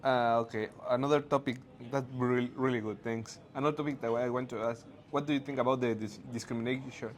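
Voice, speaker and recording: A man with a Mexican Spanish accent, a native Spanish speaker, interviewing in halting, word-searching English. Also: medium pitch, fairly even intonation. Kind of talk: casual interview questions. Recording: faint background noise.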